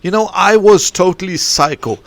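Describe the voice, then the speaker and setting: A football coach in his forties, who grew up in Eswatini, wanders from point to point, restarting his sentences as he goes. His voice sits a little high for a man. It is recorded close and dry, with no room sound. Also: moderate pace, creaky, dry recording. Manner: digressive, with many restarts. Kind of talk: rambling podcast monologue.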